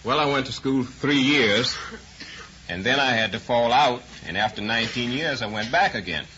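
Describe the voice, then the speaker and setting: A man's voice: mid-range voice, moderate pace, pushed and bright. A man in his forties with an American accent answering a quiz host plainly and a little hesitantly, as a contestant. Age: 40s. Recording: dull vintage radio recording, faint noise.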